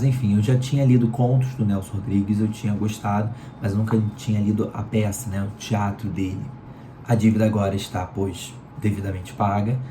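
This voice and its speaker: A man with a Carioca accent, hoarse from a cold, giving a chatty book review. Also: fairly low pitch, dark tone, a little hiss or hum. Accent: Carioca Brazilian Portuguese. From Rio de Janeiro, Brazil. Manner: chatty, leans on "né?" tags. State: hoarse, sore throat from a cold. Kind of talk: casual book review talk. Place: room at home in Rio. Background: electric fan running.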